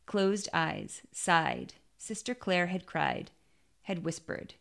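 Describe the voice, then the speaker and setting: A woman reading a literary short story in measured, clipped phrases with pauses. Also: fairly low pitch, lively intonation, vocal fry, fairly bright tone.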